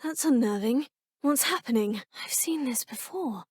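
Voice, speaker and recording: Young female witch student; polite, musing, breathy, dry close studio sound. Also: lively intonation, moderate pace, no room sound. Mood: polite, curious. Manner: polite, composed, often musing in low asides. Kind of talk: video game voice lines. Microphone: close, dry studio mic.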